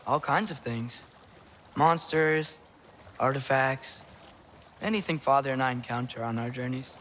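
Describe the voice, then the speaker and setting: A man's voice: mid-range voice, measured pace, fairly bright tone, slight background noise, natural voice. Man playing an earnest, hesitant teenage boy adventurer. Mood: shy, earnest. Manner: hesitant, casual, natural. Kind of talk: naturalistic video game acting.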